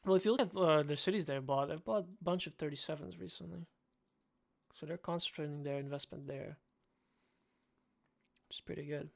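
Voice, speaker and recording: A man with a Serbian accent and a slightly higher pitch, with a gravelly, fry-laced voice. He talks bluntly in a casual podcast chat, joking and swearing offhand. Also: a little fast, pauses often. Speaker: podcast co-host.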